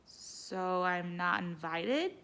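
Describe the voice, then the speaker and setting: A woman's voice: lowish voice, lively intonation, drawn-out words, fairly bright tone, heavily acted. A woman voicing an earnest, wide-eyed young schoolboy in a storybook, simple and candid. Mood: questioning.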